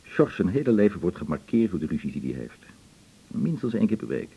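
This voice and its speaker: A man's voice: low voice, sing-song, a little fast, gravelly, husky, heavily acted. An actor playing a wry, easygoing male friend in a naturalistic radio drama, chatting in a dry manner. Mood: wry, relaxed. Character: wry, easygoing adult friend with stormy love life. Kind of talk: naturalistic 1980s Dutch radio drama acting. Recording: slightly dull 1980s radio recording.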